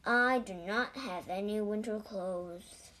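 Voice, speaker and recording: A woman's voice: medium pitch, slow pace, sharp tone, slight background noise, low-quality recording. A woman voicing an anxious, stubborn, grumbling male toad in a children's storybook. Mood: grumpy.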